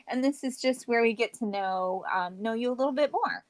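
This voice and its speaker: A woman co-hosting a podcast, introducing segments and asking questions in a measured way with some ums. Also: on the high side, no echo. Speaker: podcast co-host. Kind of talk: casual interview conversation.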